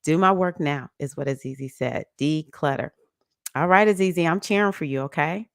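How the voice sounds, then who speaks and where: A woman, hosting a casual livestream about astrology, chatty and animated, laughing often and greeting viewers by name. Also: sits low, normal speed, no echo, clean studio sound. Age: 50s. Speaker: livestream host.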